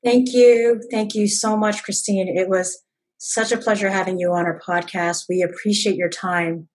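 A woman's voice: medium pitch, fairly even intonation, normal speed. A woman co-hosting a podcast, interviewing in a conversational, encouraging and appreciative way. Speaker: podcast co-host.

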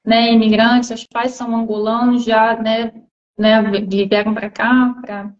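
Brazilian woman, live host, slightly high voice, chatty filler-heavy delivery, muffled stream sound. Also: level tone, unhurried. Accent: Brazilian Portuguese. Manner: chatty, many fillers and tag questions. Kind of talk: casual live interview.

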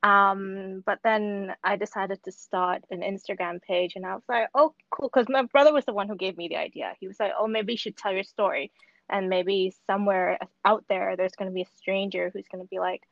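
A woman's voice: mid-range voice, steady tone, moderate pace, vocal fry, a bit bright, no echo, dull audio. A woman from Hong Kong talking as a podcast guest, chatty, with lots of fillers and restarts. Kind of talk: casual remote interview.

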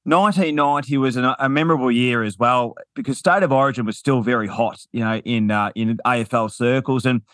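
A man hosts the interview, asking long, well-prepared questions in a steady mid-range voice. His recording is dry and close. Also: medium pitch, brisk, hardly any pauses, dry recording. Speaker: podcast host.

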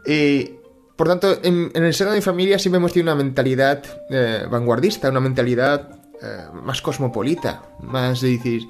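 A man's voice: mid-range voice, brisk, faint music behind. A middle-aged Spanish man from Catalonia speaks in a thoughtful, hesitant monologue with many fillers and restarts, as host of his personal finance channel. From Spain (Catalonia). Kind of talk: reflective casual monologue.

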